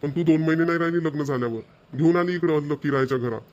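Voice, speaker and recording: A man's voice: fairly high pitch, racing, darkish tone, muffled recording, lightly acted. A man playing an exasperated, thrifty young husband in a cartoon, complaining and scolding with a Vidarbha Marathi accent. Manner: nagging, complaining. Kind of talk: amateur cartoon voice acting.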